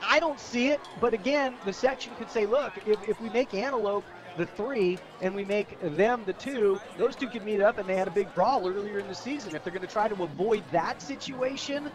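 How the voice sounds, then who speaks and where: A man's voice: high voice, a little fast, few pauses. A man with an American accent giving live football color commentary in a conversational, folksy way. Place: outdoor football stadium broadcast.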